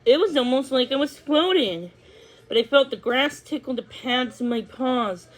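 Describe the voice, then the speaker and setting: A woman's voice: high voice, animated, normal speed, rough recording. A woman narrating a scripted children's story as a read-along.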